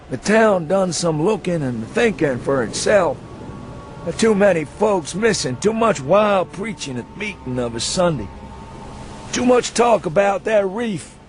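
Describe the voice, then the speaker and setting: A man voicing a drunken, hysterical old man with a rural New England accent and rustic, goading speech in a dramatised story reading. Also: sits high. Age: elderly. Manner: rustic dialect, goading questions ending in "huh?". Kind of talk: dramatised audiobook reading for radio.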